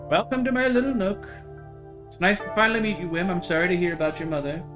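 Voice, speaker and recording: A man's voice: high voice, fairly even intonation, fast, voice pushed up, lightly acted. A man voicing a kindly older small-town woman, gracious, chatty and folksy, in a visual novel. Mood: gracious, welcoming. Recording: game music behind the voice.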